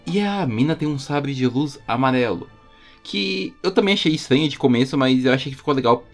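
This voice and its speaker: A man's voice: mid-range voice, expressive, fairly quick. A man with a Brazilian accent giving enthusiastic, informal fan commentary as a YouTube host, using plenty of slang. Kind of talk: casual fan commentary voice-over. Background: music under the voice.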